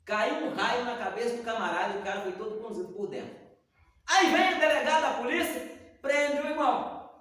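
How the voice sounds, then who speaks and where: High-voiced preacher in an echoey hall. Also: male voice, fairly quick. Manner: animated storyteller acting out dialogue. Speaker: Sunday school teacher. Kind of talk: church Bible lesson with storytelling. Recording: echoey hall, distant mic.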